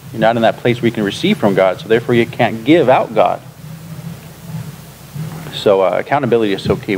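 A man in his thirties, a pastor, leading a relaxed classroom discussion with lots of fillers, recorded in a church classroom by a room camera. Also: lowish voice, a little hiss or hum, rough recording. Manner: relaxed, chatty, frequent fillers and laughs. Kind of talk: casual teaching discussion.

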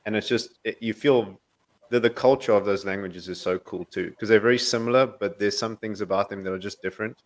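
A man's voice: fairly low pitch, brisk. A man from South Africa talking about languages in an easygoing, enthusiastic way on a video call. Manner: relaxed, enthusiastic storytelling. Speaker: podcast guest. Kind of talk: casual video call chat.

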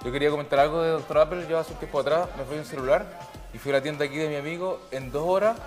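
A man in his thirties promotes his store as a TV guest, earnest and salesy. He speaks fast, in a slightly high voice, with music behind. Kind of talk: promotional studio chat. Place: TV studio.

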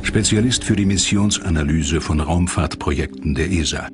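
A man's voice: low-pitched, moderate pace, music in the background. A man narrating a dramatic documentary from a script.

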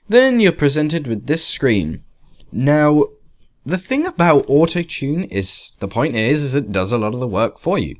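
Man with lively, swinging intonation. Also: medium pitch. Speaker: tutorial presenter. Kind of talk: informal screen-recorded software tutorial. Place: voice-over to a screen capture.

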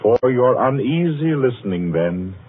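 A man announcing and narrating a 1940s radio suspense drama in a formal, measured, dramatic manner. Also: mid-range voice, sing-song, a little hiss or hum. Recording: tinny 1940s radio recording.

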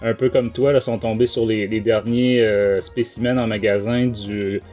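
A middle-aged man with a Québécois French accent, hosting a casual podcast chat in hesitant, halting phrases. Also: on the low side, flat delivery, a little mellow, soft background music. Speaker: podcast host. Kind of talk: casual talk show chat.

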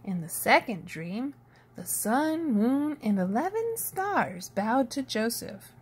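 A woman reading a children's picture book aloud in an expressive storytelling manner, with a slightly husky voice. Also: a little high, slow. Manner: animated, storytelling delivery. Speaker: storybook reader.

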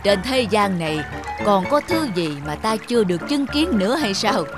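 A woman's voice: mid-range voice, sing-song, normal speed, lightly acted. A huge old mountain from a cartoon fable, acted by a woman. It is proud and pompous, scolding in a medium register over faint music. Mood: haughty.

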